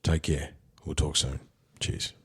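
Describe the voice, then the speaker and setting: Australian male host, husky and a bit under the weather, casual solo intro, very deep tone. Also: little pitch movement, slow. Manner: laid-back, candid, chatty. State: unwell. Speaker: podcast host.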